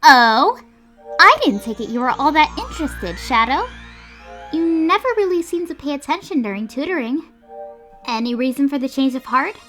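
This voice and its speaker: A woman voicing a gentle, hopeful young girl in a comic dub, speaking tenderly and earnestly with a wistful manner. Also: high voice, big pitch swings, moderate pace. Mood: lightly teasing. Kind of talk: scripted comic dub voice acting. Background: music.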